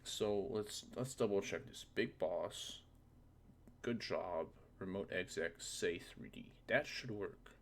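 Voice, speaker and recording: A man's voice: low voice, takes it slowly, vocal fry. A man presenting a casual screen-recorded game modding tutorial, informally thinking aloud with some hesitant repetition. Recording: faint noise, keyboard clicks.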